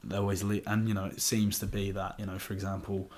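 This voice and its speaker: A man's voice: low voice, monotone, quick pace, darkish tone. A young man, hosting a casual podcast interview in an affirming, slangy way. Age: young adult. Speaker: podcast host. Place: living room in a home. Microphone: desktop microphone on table.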